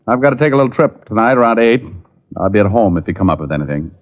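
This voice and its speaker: An actor playing a cocky, sardonic private detective in 1940s radio style. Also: male voice, on the low side, big pitch swings, rapid-fire. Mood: wry, teasing. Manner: sardonic, glib. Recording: tinny old radio recording, faint music.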